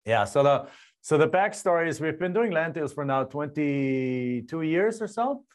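A man's voice: a little high, normal speed. A middle-aged man from Germany with a German accent, a guest telling his story animatedly in a casual interview, recorded on a lapel mic in a home studio. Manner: animated, gestures a lot, frequent restarts. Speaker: guest, land investor. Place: home studio with screen and map backdrop.

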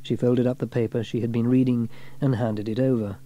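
A man reading a scripted children's story in an expressive, performed way. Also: mid-range voice, a little fast, lo-fi sound. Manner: expressive, shifts into character voices. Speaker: storybook reader.

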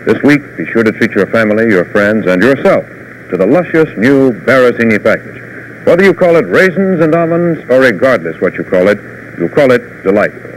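A middle-aged American man hosting a 1950s television news show, with polished, deliberate announcer delivery. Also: mid-range voice, very animated. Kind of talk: 1950s live TV news and sponsor pitches. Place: television studio. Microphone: lapel or tie microphone. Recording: noisy vintage 1950s television broadcast.

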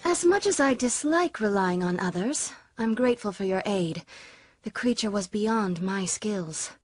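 A woman voicing a proud, reserved animal heroine in a video game, formal and cool, with a husky voice. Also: mid-range voice, lively intonation, measured pace, soft background music, natural voice. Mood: reserved gratitude. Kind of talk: video game cutscene acting.

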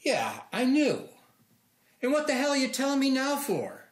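An actor in his sixties playing a gruff, wounded older American man, blunt and sardonic, in naturalistic film dialogue. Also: very high voice, wildly swooping pitch, normal speed, full character voice. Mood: bitter, hurt. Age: 60s.

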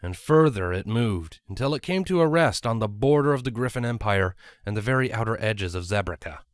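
A man narrates a story in audiobook style. His voice sits slightly low, and the recording is dry and close with no room sound. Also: animated, brisk, no room sound.

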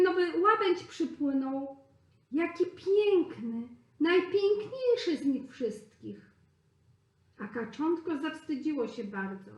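Slow, expressive woman storyteller. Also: fairly high pitch. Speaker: preschool teacher. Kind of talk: reading a fairy tale to children. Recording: roomy echo.

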